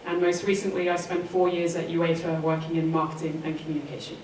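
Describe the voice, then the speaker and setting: A woman's voice: low voice, level tone, quick pace, a little mellow. A woman in her forties with a southern English accent, giving earnest, articulate answers as an interview guest. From England. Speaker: interview guest, sports author. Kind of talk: interview answers, personal storytelling. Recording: roomy echo, distant mic, faint noise.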